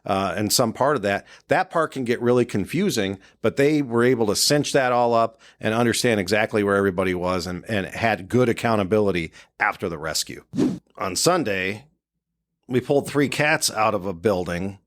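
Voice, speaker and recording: A man's voice: fairly low pitch, normal speed. A man hosting a casual discussion as someone who works in the fire service. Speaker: podcast host and fire officer.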